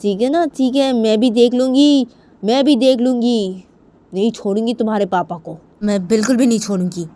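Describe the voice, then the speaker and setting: A woman's voice: fairly high pitch, moderate pace, warm tone, pitched above the performer's own voice, close to an everyday voice. A woman voicing an angry, aggrieved dinosaur mother in a story, scolding with repeated, badgering questions. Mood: scolding, threatening. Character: furious, aggrieved dinosaur mother, nagging and indignant.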